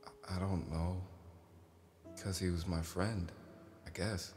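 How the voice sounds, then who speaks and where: A man acting a deep, reticent grieving friend. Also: fairly even intonation, slow pace, a little mellow, faint music behind. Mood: hesitant, uneasy. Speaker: actor in a stage play reading.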